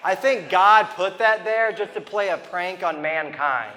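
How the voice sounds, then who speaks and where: A man's voice: high voice, unhurried, pushed and bright, long reverb tail, slight background noise. A man in his thirties from Ohio with a lisp, doing a stand-up comedy set in a loose, rambling way with asides to the crowd. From Toledo, Ohio, US. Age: 30s. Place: comedy venue with a live audience.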